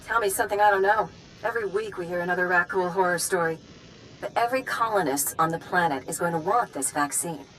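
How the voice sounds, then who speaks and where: An actress playing a weary, pragmatic colonial official in a video game, frank and serious. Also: female voice, on the low side, fast talker, fairly bright tone, slight background noise, natural voice, poor audio. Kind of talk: scripted video game dialogue.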